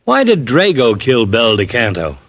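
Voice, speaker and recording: A man acting a gruff, sarcastic, wisecracking American police lieutenant in a 1950s radio drama. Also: medium pitch, huge pitch swings, normal speed, a bit bright, full character voice. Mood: businesslike.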